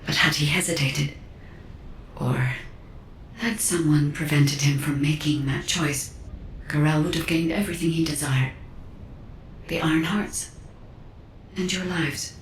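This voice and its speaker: A grave, formal woman in a game cutscene, speaking slowly and measuredly. Her voice sits low with a gravelly edge. The sound is roomy, with a faint rumble underneath. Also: fairly even intonation. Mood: solemn. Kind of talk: video game cutscene dialogue.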